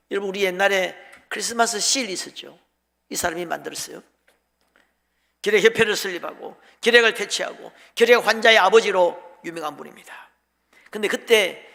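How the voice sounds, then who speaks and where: A male pastor delivers a storytelling sermon in a high, bright voice with a gravelly creak at the edges. He speaks emotively and emphatically, setting short phrases apart for weight. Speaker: pastor preaching to a congregation. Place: large church sanctuary during a worship service. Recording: long hall reverb.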